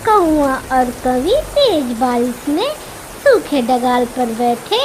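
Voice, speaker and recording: A woman's voice: high voice, very animated, slow pace, background noise. A woman reading scripted narration for a children's storybook cartoon.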